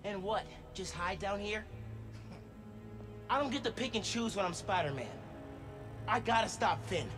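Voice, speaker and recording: An earnest teen hero. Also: male voice, high-pitched, expressive, normal speed, pauses often. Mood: defiant. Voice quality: earnest, defiant. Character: teenage male superhero, earnest and defiant. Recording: game cutscene with music underneath.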